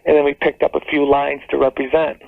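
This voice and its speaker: A man with a creaky voice calling a radio show, speaking casually on the telephone. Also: a little high, level tone, fast, no room sound. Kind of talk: casual phone call. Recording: tinny phone line on radio.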